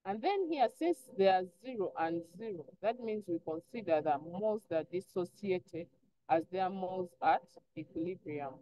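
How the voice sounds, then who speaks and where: A woman's voice: on the low side, animated, normal speed, dark tone. A woman teacher explaining step by step in an online lesson, instructive, often posing prompting questions and answering them herself. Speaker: chemistry teacher. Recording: dull lo-fi online class audio.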